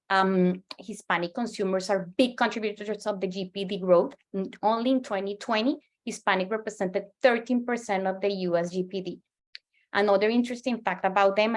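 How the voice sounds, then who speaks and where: A woman in her forties from Colombia with a Colombian Spanish accent, a native Spanish speaker giving an earnest presentation as a market researcher, often restarting and repeating words. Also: mid-range voice. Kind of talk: presentation to a professional audience.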